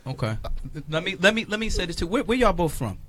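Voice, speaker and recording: A man's voice: high-pitched, very animated, quick pace, a bit bright. A man hosting a casual radio interview, who repeats his words and urges listeners on in slangy talk. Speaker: radio show host.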